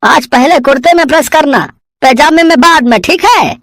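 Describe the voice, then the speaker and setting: A woman's voice: high-pitched, normal speed, a bit bright, dry recording. A woman voicing a kindly older male village headman in a moral story, chatty and folksy with fatherly encouragement. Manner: chatty, folksy, with frequent exclamations and fatherly endearments. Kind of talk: dramatised Hindi moral storytelling.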